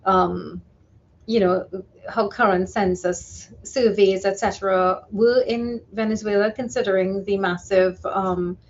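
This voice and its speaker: A woman speaking as an expert guest in a live news interview, explaining carefully and with measured hesitation. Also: mid-range voice, fairly even intonation, highs cut off, a little hiss or hum.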